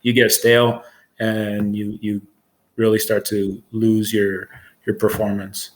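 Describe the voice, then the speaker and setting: A man's voice: on the low side, completely flat delivery, takes it slowly. A man in his forties with an American accent, explaining his work as a supervisor in an informal interview, plain-spoken and matter-of-fact. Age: 40s. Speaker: air traffic control supervisor.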